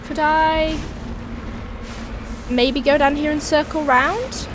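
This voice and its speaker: Raspy woman with a cold, gameplay host, thinking aloud, slightly high voice, game noise behind. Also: takes it slowly. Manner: chatty, thinking aloud while playing. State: hoarse with a cold. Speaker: let's play host. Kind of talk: casual gameplay commentary. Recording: voice over steady game engine noise.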